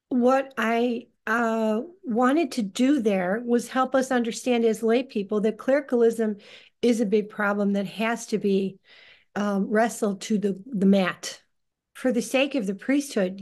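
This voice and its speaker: A woman in her sixties giving informal religious teaching on a group call, speaking in an earnest, deliberate, halting way. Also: on the high side, a little mellow. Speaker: leader of a lay religious group. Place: living room, indoors. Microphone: computer on a video call.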